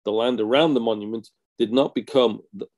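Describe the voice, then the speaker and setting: A man, a museum collections officer, giving a measured, read seminar talk on a local society's history. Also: medium pitch, fairly even intonation, a little mellow. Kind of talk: read academic lecture.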